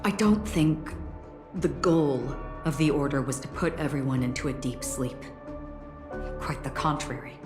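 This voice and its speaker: An actress playing a calm, earnest, dignified woman in a video game, speaking in a measured, courteous way. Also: low voice, reverberant, over music. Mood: earnest, resolute. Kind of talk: video game story dialogue.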